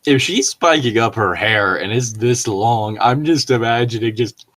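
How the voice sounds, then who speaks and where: A man's voice: medium pitch, measured pace, a bit bright. A man co-hosting a comic read-aloud of bad fan fiction, amused and mocking, with casual sweary commentary. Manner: amused, mocking, breaking into chuckles.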